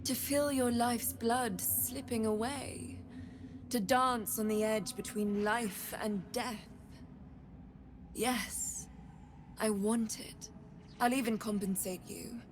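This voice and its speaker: An imperious, morbidly curious female blood trader from a fantasy game. She speaks in a high, silky, measured voice, taking her time, with clear ambient noise behind her. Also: steady tone. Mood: eager, yearning. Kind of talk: dramatic video game acting.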